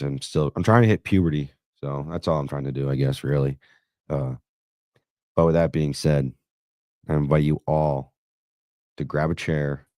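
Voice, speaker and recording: A man hosting a podcast in brash, profane banter, his voice hoarse and raspy after being sick. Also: a bass voice, mellow, no echo, studio quality. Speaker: podcast host. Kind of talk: casual crude banter.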